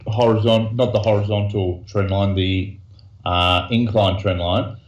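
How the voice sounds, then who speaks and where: A man as a guest in a casual trading chat, explaining slowly and hesitantly with many restarts. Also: lowish voice, fairly even intonation. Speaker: guest trader. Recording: echoey, dull lo-fi sound.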